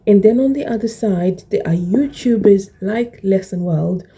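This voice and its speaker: A woman in her early forties with a mixed Zimbabwean and British accent, talking reflectively and deliberately about personal experience, repeating phrases for emphasis. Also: mid-range voice, dark tone, husky. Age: early 40s. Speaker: video blogger. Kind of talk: personal reflective talk to camera.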